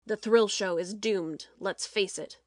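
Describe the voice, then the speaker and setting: A woman playing a domineering, cold-blooded TV producer in a dramatic audiobook, speaking in a forceful, pointed way. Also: medium pitch, measured pace, no room sound, clean studio sound, natural voice. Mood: grave, scheming. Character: hard, calculating TV show producer, domineering.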